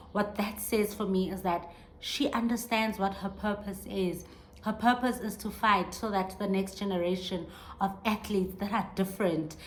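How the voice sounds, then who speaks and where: A woman's voice: mid-range voice, slight background noise. A woman in her thirties hosting a podcast, talking earnestly and reflectively on her own, with many restarts as she searches for words. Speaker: podcast host. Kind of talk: reflective solo monologue.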